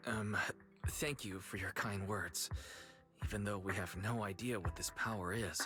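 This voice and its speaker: A quiet, modest young hero. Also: male voice, lowish voice, steady tone, normal speed. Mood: modest, unsure. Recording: game music behind the voice.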